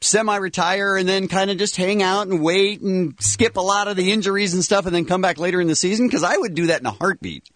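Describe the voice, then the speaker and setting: A man's voice: high-pitched, fast, few pauses, fairly bright tone, no echo. A man co-hosting a morning radio show, teasing the others and asking the guest questions. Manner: teasing, laughing.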